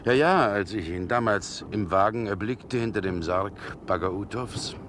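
Male role: worldly former lover, sardonic and deliberate, vintage radio drama with strong background noise. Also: on the low side, fairly bright tone. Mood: brooding, musing. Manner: mocking, musing, deliberate. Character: ironic, self-assured gentleman, mocking former lover. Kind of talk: 1960s German radio play acting. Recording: noisy vintage 1960 radio recording.

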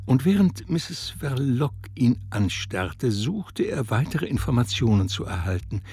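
A man with a gravelly voice narrating a literary story for a radio drama in an expressive storytelling manner. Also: mid-range voice, a little slow, no echo.

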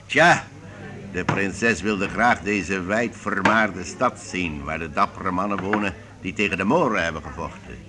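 An actor in a Dutch radio play playing a genial, wily troupe master who speaks in a smooth, theatrical way. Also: male voice, low voice, measured pace. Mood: grand, courteous. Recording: radio play with crowd chatter behind.

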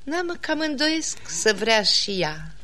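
Genteel, high-voiced aunt. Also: female voice, sing-song, bright, forceful tone, slight background noise. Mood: courteous, eager. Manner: courteous, mannered. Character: polite, eager, respectable aunt, a genteel lady. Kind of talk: classic radio theatre comedy acting.

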